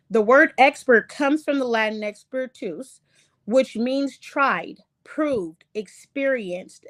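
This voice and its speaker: A woman hosting an online lesson, reading from slides in a halting way with many ums and restarts; English is not her first language. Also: a little high, no echo, clean studio sound. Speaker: online show host. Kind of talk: casual lecture reading from slides.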